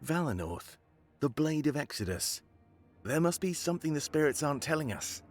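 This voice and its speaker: An actor voicing a brooding, determined rebel leader in a fantasy visual novel, musing solemnly. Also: male voice, a little high, big pitch swings, normal speed, faint music behind. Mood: brooding, hopeful.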